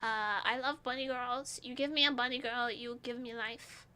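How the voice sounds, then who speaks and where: A woman's voice: on the high side, steady tone, moderate pace, bright, forceful tone, clear, resonant tone. A woman from Portugal, chatting casually with her live chat as a streamer, giggly and rambling.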